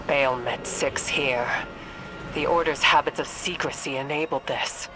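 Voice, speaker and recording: A composed woman mentor of a warrior order in a video game. She speaks with grave, measured authority. Loud music plays underneath. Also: extremely low pitch, rough recording. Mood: resolute. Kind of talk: dramatic video game cutscene acting.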